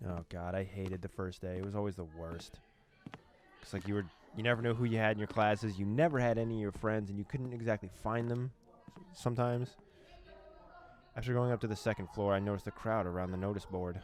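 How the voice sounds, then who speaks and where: A young man from the former USSR reading a horror visual novel aloud, with quick laughs and reactions between lines. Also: fairly low pitch, fairly even intonation. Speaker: let's play host.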